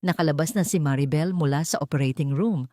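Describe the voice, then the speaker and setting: A woman reading a scripted dramatic story as its narrator, with measured, dramatic delivery. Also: low voice, mellow, no echo, studio quality.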